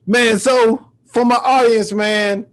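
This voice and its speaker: A man's voice: very high voice, steady tone, slow pace. A man hosting a podcast in a casual, laid-back chat, asking questions in loose, familiar phrasing. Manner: laid-back, often tags sentences with "man". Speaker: podcast host.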